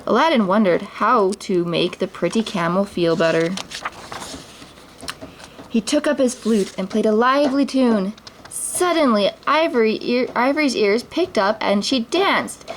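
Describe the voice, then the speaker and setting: A woman reading a children's picture book aloud at story time. Her gentle read-aloud has the odd stumble and restart. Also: a little high, lively intonation, a little slow, a little hiss or hum. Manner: gentle, with small slips and restarts.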